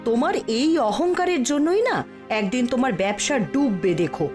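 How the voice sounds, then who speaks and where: A woman's voice: sits high, big pitch swings, moderate pace, music in the background. A woman playing a scolding, principled wife in a moral-tale cartoon, with a firm, admonishing manner.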